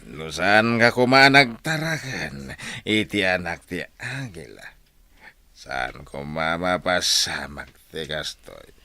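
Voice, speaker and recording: Commanding general, Filipino accent, very low voice, slow menacing delivery, radio drama. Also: male voice, lively intonation, pushed and bright, no room sound, full character voice. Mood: threatening. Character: commanding, menacing male general, powerful and proud.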